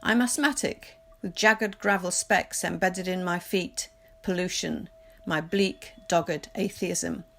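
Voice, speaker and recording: A woman reading poetry aloud in a measured, literary recitation, deliberately pausing phrase by phrase. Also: fairly low pitch, steady tone, gravelly, fairly bright tone. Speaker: poetry reader. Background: music.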